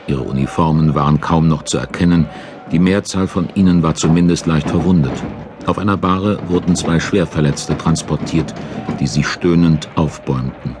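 A man's voice: extremely low pitch, steady tone, normal speed, background noise. A man giving dramatic, scripted audiobook narration as the storyteller of a historical radio play. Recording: narration over battle sound effects.

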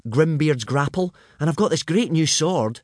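A man's voice: fairly high pitch, lively intonation, moderate pace, a little mellow, no echo, clean studio sound, voice pushed up. A man voicing an earnest, bookish young Viking boy. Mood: eager. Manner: earnest, eager.

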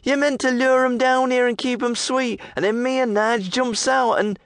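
A man voicing an unseen male thug lurking behind a fence, speaking in a slow, coarse drawl. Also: extremely high pitch, steady tone, fairly bright tone, no echo, studio quality, pitched above the performer's own voice. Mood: sneering.

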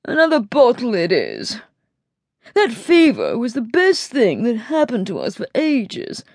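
A woman narrator plays a storybook lord in his thirties, a languid military gentleman. He drawls lazily and slowly in a dry, close recording. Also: fairly high pitch, wildly swooping pitch, no room sound, voice pushed up, exaggerated voice. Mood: lazy. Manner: lazy upper-class drawl. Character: languid thirty-five-year-old male aristocratic army officer.

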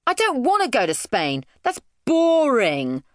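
A woman voicing an excitable, dramatic young girl in a children's story, exclaiming and drawing out words. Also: on the high side, no room sound, clean studio sound, voice pushed up. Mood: sulky. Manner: exclaiming, drawn-out, melodramatic.

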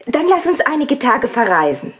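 An actress playing a worried, sceptical woman companion in a horror audio drama, husky-voiced and urgently insistent. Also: high voice, very animated, moderate pace, full character voice. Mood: concerned, insistent. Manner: urgent, pressing.

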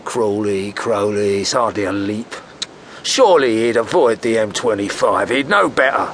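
A British actor playing an irritable, blunt and menacing demon lord in a radio drama. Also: male voice, lowish voice, moderate pace, fairly bright tone, a little hiss or hum. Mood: irritated. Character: grumpy, menacing demon Duke of Hell, indignant.

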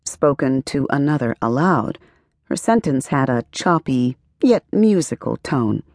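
A woman narrating a literary novel as an audiobook, with measured, expressive storytelling and careful phrasing. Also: very deep voice, no echo, studio quality. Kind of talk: scripted literary fiction narration.

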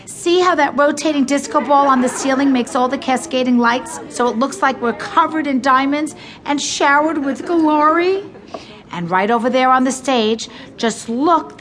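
A woman's voice: high voice, a bit bright. An actress playing a pushy, gushing pageant mother who talks to her young daughter with breathless, exaggerated emphasis in an audio drama.

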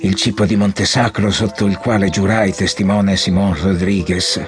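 Man acting tired proud old general, deepish voice, grand bossy speeches, radio drama w music behind. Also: level tone, normal speed, lightly acted. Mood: moved, weary. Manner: grandiloquent, commanding.

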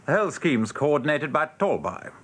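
A man's voice: a little high, very animated, normal speed, fairly bright tone, breathy edge, full character voice. An actor playing a world-weary, sardonic English advertising man with a dry, mock-pompous manner in a radio drama. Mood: brisk, jaded. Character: world-weary, sardonic male advertising copywriter showing newcomer around.

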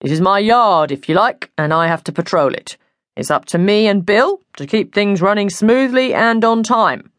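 A woman's voice: medium pitch, lively intonation, moderate pace, no echo, studio quality, natural voice. A woman voicing an officious, stuck-up man in his thirties who talks as if he wants to be posh, in an audiobook. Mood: self-important. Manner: pompous, talking as if trying to sound posh. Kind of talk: audiobook character dialogue.

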